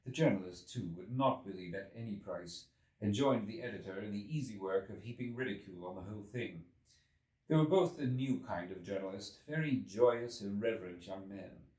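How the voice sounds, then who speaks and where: A man's voice: fairly low pitch, moderate pace, a little mellow. A man reading a literary story aloud as scripted narration, in a dramatic storytelling manner. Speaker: audiobook reader. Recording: roomy echo, distant from mic.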